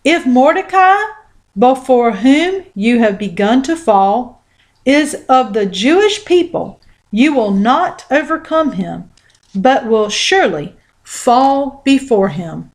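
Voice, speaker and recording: A woman reading scripture aloud as scripted narration, with measured, deliberate phrasing. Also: fairly high pitch, big pitch swings. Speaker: Bible reader.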